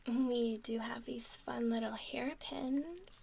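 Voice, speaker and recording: A woman playing a wry, doting, bossy young mermaid in a whispered, husky ASMR roleplay. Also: a little high, a little hiss or hum. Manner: unhurried, gently chiding. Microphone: 3Dio binaural microphone, close.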